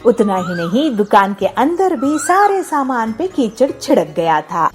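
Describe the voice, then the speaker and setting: A woman reads a scripted moral tale for children as its narrator. Her voice is lively, with wide swings in pitch, and faint music plays underneath. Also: measured pace.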